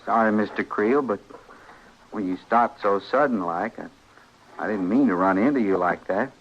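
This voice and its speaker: An actor playing a polite, eerily persistent frontier man with a mild, folksy, deliberate manner in an old radio western. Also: fairly low pitch, lightly acted. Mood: mild, menacing. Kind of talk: 1950s radio western acting. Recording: dull, hissy old radio recording.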